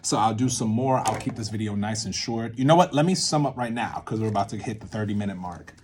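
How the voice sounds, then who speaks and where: A man with a fairly low voice talks off the cuff as he works through creative ideas. His delivery is informal and rambling, with words that get stretched or punched for emphasis. There is some background noise on the recording. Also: quick pace. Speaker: video series host, theatre maker. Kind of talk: casual unscripted creative-process talk, thinking aloud.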